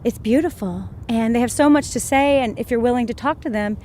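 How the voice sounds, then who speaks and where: Earnest, slightly high-voiced woman guest. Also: warm tone, slight background noise. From Georgia, USA. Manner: earnest, enthusiastic, talks in quick bursts. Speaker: interview guest. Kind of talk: casual interview conversation.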